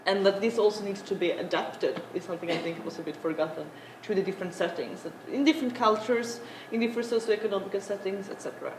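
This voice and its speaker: A young woman, an English speaker, giving a halting conference talk with many filler sounds. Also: mid-range voice. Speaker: panel speaker. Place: large conference room. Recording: echoey conference room, noisy.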